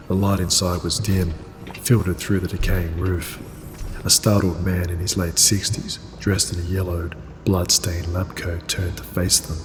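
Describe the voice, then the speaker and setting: Casting: male story narrator, low-pitched and level, cinematic scripted read, clear music bed. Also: long reverb tail.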